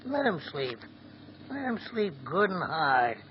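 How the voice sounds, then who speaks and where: An actor playing a scheming, gloating crime boss in a 1940s radio drama, speaking slowly and chuckling, on a dull old broadcast with faint hiss. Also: male voice, high-pitched, big pitch swings, heavily acted. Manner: gloating, chuckling, slow and deliberate.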